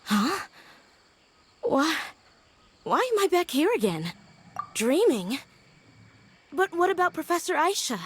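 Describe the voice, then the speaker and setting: A woman's voice: high voice, big pitch swings, slow pace, pauses often, slight background noise, full character voice. A woman playing a wistful young scholar in a video game, speaking in an earnest, reflective storytelling manner. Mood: groggy, resigned. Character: wistful young female scholar recalling a lost tutor. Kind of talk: video game dialogue acting. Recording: game music behind the voice.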